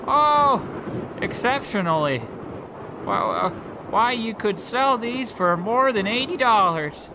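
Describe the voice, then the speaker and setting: A man's voice: sits high, sing-song, slow pace, full character voice. A man playing a meek, naive old government clerk who speaks hesitantly and wordily in an old-time radio comedy. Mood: earnest, pleased. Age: older. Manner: hesitant, stammering, wordy and earnest. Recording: noisy outdoor street ambience with traffic.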